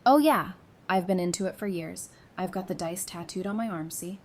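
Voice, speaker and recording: An actress playing a warm, teasing, geeky woman in a post-apocalyptic audio drama, speaking casually and playfully. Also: normal speed, close to an everyday voice. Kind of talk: fan audio drama acting. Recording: noisy background with low rumble.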